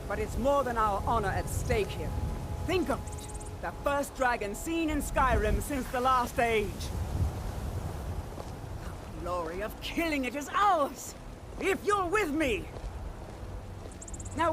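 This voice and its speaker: A woman's voice: sits high, expressive, measured pace, noisy background. Stern commanding elven warrior woman. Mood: rousing. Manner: commanding, urgent, blunt. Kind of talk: fantasy video game acting. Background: game music.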